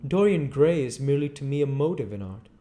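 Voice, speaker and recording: A man's voice: mid-range voice, expressive, a little fast, warm tone, natural voice. A man voicing an ardent, anguished painter in a dramatic storybook reading, earnest and confessional.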